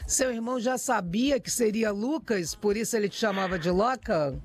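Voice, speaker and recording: A woman hosting a radio interview, asking questions in a playful, lively way. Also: medium pitch, normal speed. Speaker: radio host.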